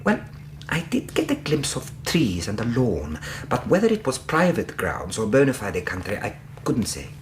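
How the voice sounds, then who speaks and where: Anxious, formal gentleman, lively pitch. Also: normal speed, a little hiss or hum. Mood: earnest recounting. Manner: formal, precise, flustered. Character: anxious, indignant, well-spoken Victorian gentleman interpreter. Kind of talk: radio drama acting.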